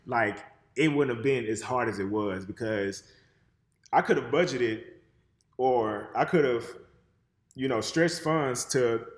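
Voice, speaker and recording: A man's voice: mid-range voice, slow, long pauses, reverberant. A man co-hosting a casual chat, telling rambling stories full of swearing. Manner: profane, rambling storytelling.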